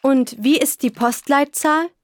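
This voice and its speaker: A woman playing a slow, patient helper. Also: high voice. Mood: helpful. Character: helpful young German woman. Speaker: friend filling in a form. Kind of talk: scripted textbook dialogue.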